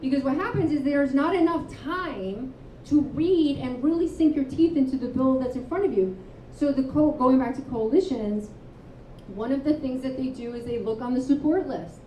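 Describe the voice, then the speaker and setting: A woman author and advocate speaking candidly at a live panel, telling stories with quick asides. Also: high-pitched, a little hiss or hum. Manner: candid, storytelling, animated asides. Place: live event venue, indoors. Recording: roomy, distant, dull live-room sound.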